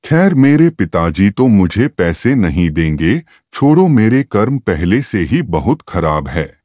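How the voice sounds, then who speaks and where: पुरुष की आवाज़ में आलसी किसान का जवान बेटा, धीमा. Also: male voice, lowish voice, big pitch swings, a little slow, a little mellow, dry recording, studio quality. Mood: self-pitying. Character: lazy, self-pitying 25-year-old farmer's son.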